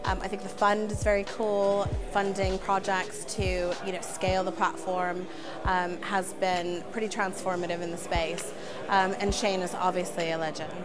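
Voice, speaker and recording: A woman's voice: lowish voice, level tone, normal speed, over music. A woman speaking casually and enthusiastically in an event interview.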